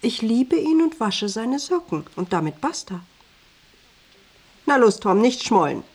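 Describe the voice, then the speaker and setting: A woman's voice: fairly high pitch, very animated, measured pace, darkish tone, husky, full character voice. An actress playing a bossy, volatile wife in a radio drama, switching between cooing and scolding in a commanding manner. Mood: lecturing, commanding. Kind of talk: German radio drama acting.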